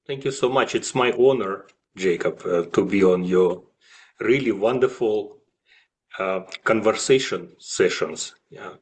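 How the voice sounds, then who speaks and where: Male interview guest, native Russian speaker with Russian accent, slightly low voice, reflective and halting. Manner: halting, with frequent pauses and slight grammatical slips. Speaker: guest, classical violist and music professor.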